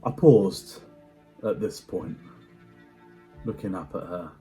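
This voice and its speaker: A man giving a dramatic first-person story reading in a hushed, measured way with deliberate pauses. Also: sits low, soft background music. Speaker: amateur actor performing a story reading.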